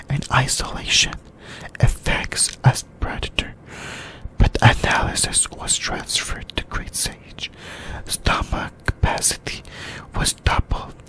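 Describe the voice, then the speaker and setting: Youtuber whispering slowly for asmr, talking anime, kinda halting, short little phrases. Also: a bit bright, rough recording. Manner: whispering throughout.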